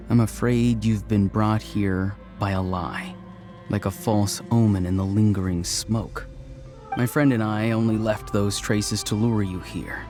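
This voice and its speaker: A young mystic schemer. He is calm, courteous and assured, choosing formal words in a measured game-drama delivery over faint music. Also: fairly low pitch. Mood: calm, explaining. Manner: polite, measured, formal, speaks in mystical similes.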